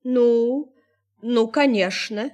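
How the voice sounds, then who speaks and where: An actress playing a placid, gracious settler's wife in a radio play, speaking politely and deliberately. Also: female voice, fairly high pitch, darkish tone. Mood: serene, polite. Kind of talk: Russian radio play acting.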